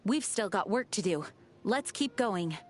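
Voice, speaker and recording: A woman's voice: medium pitch, lively intonation, unhurried. An actress voicing an earnest, dutiful young female knight commander in a video game, composed and formal. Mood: resolute. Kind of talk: video game character voice lines.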